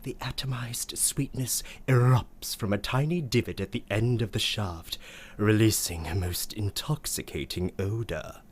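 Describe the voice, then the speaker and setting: A man's voice: lowish voice, measured pace, close to an everyday voice. A man playing a prim, florid, girlish-minded aunt narrating a radio play in a pompous manner, savoring each word. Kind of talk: theatrical scripted radio play monologue.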